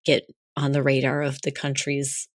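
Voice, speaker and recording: A young woman co-hosting a podcast in casual chat, hoarse as her voice comes back, rambling and laughing often. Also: very deep voice, monotone, normal speed, dry recording, studio quality. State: hoarse, recovering lost voice. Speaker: podcast co-host.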